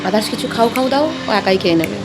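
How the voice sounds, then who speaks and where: A woman's voice: medium pitch, rapid-fire. A young woman narrating her daily home routine in a casual, chatty, informal way, explaining things as she goes. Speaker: homemaker vlogger and mother. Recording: loud music under the voice.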